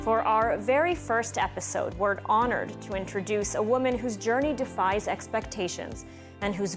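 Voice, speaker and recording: A woman hosting an interview series, giving a polished scripted introduction and asking questions. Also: mid-range voice. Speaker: host.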